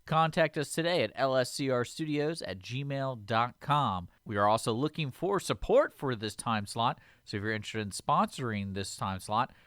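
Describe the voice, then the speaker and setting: Dry, mid-pitched male radio announcer. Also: expressive, moderate pace, few pauses, fairly bright tone, no echo. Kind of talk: scripted radio outro announcement.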